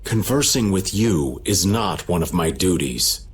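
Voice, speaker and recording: An actor playing a grave older knight captain in a video game, formal, stern and fatalistic. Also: male voice, low-pitched, lively intonation, a little slow. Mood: grim resolve. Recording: loud music under the voice.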